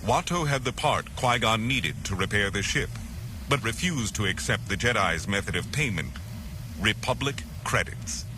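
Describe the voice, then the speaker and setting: A man reading a scripted children's adventure story as narrator, in a dramatic, measured way. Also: lowish voice, lively intonation, background noise.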